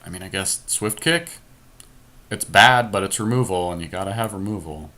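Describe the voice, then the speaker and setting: Low, bright-voiced man talking through picks. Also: normal speed. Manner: decisive, explains his reasoning. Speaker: podcast host, card game drafter. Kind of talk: casual think-aloud game draft commentary.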